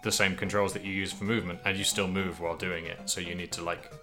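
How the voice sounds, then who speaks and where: A man's voice: deep voice, flat delivery, quick pace, music in the background. A man co-hosting a podcast, chatting casually about a video game in a rambling, think-aloud way. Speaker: podcast co-host.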